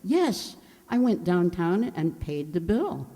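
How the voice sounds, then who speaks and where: A woman playing a scatterbrained, kindly, nosy older landlady in a comedic old-time radio show, dithery and unhurried. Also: on the low side, sing-song, mellow, slight background noise, full character voice. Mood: chatty. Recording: hall-like, long reverb.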